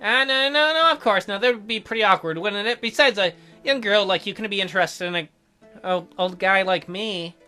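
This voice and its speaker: A man's voice: sits high, normal speed, smooth. A man voicing a playful, easily embarrassed older doctor in a visual novel, with a light, teasing, hesitant manner. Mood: teasing, awkward. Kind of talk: visual novel character read aloud. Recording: soft music behind the voice.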